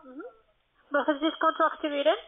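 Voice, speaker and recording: A non-native woman with a foreign accent makes a call center sales call in a high voice. She is pushy and insistent. She comes through a tinny phone line. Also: fairly even intonation, quick pace. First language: not German. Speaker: call center account agent.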